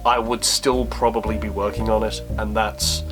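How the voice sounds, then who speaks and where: A man's voice: on the low side, little pitch movement, normal speed, music in the background. A man talking casually in a Q&A vlog, informal and self-deprecating, thinking aloud as he answers. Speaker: YouTube creator answering viewer questions.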